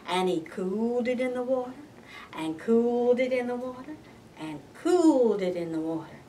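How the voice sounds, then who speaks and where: A woman reading a picture book aloud as expressive narration, like a librarian at story time. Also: fairly high pitch, normal speed, far from the mic, a little hiss or hum, poor audio.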